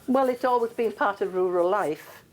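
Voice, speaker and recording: A rural woman answering a news interview in a calm, practical way. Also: mid-range voice, normal speed.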